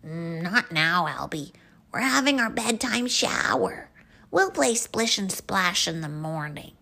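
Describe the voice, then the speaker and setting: Woman voicing friendly, unhurried storybook elephants. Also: on the low side, sing-song, gravelly, sharp tone, exaggerated voice. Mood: gentle, declining. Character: friendly elephants busy with their bedtime shower.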